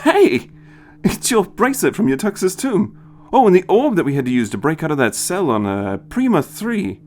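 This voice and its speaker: A man's voice: high-pitched, big pitch swings, normal speed, darkish tone, voice pushed up. A man voicing a curious, good-humoured male companion in a storybook, joking and wry. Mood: surprised. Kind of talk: dramatized storybook reading.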